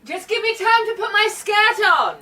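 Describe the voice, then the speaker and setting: A woman acting in a radio drama as a bold, self-possessed English schoolteacher who speaks frankly and briskly. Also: very high voice, lively intonation, sharp tone, full character voice.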